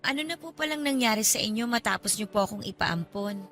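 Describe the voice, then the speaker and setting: A woman's voice: medium pitch, animated, fast, a bit bright, lightly acted. An actress playing a polite, guarded young female college student in a radio drama, speaking with hesitant reserve. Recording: music under the voice.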